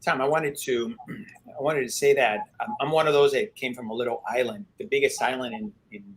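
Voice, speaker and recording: A man from Cuba speaks on a video call panel in an ordinary mid-pitched voice. He talks earnestly and personally, with some restarts. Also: fairly even intonation, brisk, a little hiss or hum.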